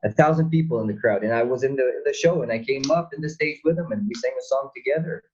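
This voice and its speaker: A male actor guest speaking casually in a halting way, searching for his words over a video call. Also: medium pitch, darkish tone, muffled recording, slight background noise. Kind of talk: casual conversation.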